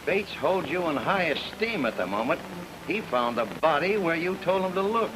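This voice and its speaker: An actor playing a dry, skeptical detective's boss in an old-time radio drama, droll and disapproving. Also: male voice, on the high side, big pitch swings, normal speed, background noise. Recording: old radio recording with music.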